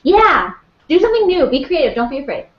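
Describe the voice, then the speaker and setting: Brief: young woman guest, fairly high, giggly and keen, muffled echoey sound. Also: sing-song, fairly quick. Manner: giggly, enthusiastic, eager to encourage. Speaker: guest, video parody creator. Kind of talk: casual convention panel chat. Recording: dull, echoey hall audio.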